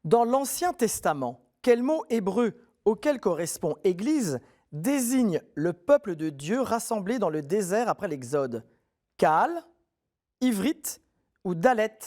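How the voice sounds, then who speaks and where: A man hosting a televised quiz show, reading questions clearly and encouraging contestants in a friendly way. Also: high voice, very animated, slow pace, a little mellow.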